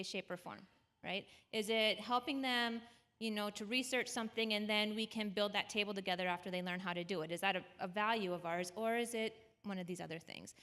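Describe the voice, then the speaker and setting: A woman's voice: mid-range voice, fairly bright tone. A woman on a discussion panel giving parenting advice, conversational and often checking in with her listeners. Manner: conversational, keeps checking in with "right?". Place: church auditorium.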